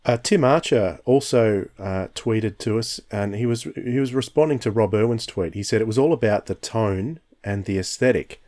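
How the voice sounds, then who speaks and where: A man's voice: on the low side, normal speed, hardly any pauses, darkish tone. A man in his fifties hosting a podcast, talking in a reflective, enthusiastic way with frequent restarts. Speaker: podcast host. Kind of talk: casual podcast discussion.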